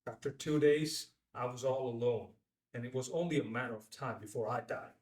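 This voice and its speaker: A man's voice: medium pitch, brisk, a little mellow, natural voice. A man acting the part of a charismatic bestselling mountaineer in his late thirties at a table read, speaking in a theatrical, weighty way. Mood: solemn, reverent. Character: charismatic best-selling mountaineer, late thirties, slick and self-mythologizing. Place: indoor room at a filmed table read. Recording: echoey room, distant microphone.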